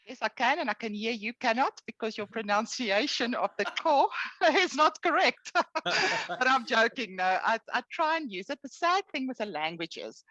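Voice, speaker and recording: A middle-aged South African woman, Afrikaans her first language, chatting freely and warmly as a podcast guest. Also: on the high side, animated, moderate pace, dry recording, lo-fi sound. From Eastern Cape, South Africa. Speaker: guest, HR executive. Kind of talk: podcast interview.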